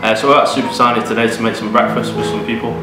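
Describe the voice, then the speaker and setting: A man's voice: lowish voice, level tone, rapid-fire, roomy sound, distant mic, over loud music. A man in his twenties with a Manchester accent, casually presenting a cooking show. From Manchester, England. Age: 20s. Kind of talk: casual presenting to camera and audience.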